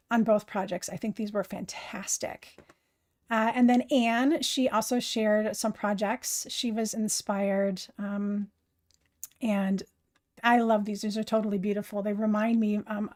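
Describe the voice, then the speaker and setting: Raspy, gushing woman craft host. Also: mid-range voice, a little mellow. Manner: enthusiastic, gushing praise, frequent ums; chatty, instructive. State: hoarse from heavy recording work. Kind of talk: casual live craft demonstration.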